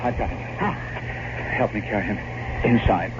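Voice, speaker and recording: A man acting a resolute gentleman in an old-time radio horror drama, with a commanding, dramatic delivery. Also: on the low side, moderate pace, close to an everyday voice. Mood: firm. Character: resolute gentleman visitor in a gothic horror tale. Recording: muffled, very noisy old radio recording.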